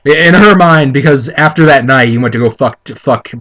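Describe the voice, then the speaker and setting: A man's voice: medium pitch, moderate pace, a bit bright. A man co-hosting a comedic reading, giving sarcastic, crude commentary in a casual conversational way. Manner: sarcastic, crude-humored, swearing.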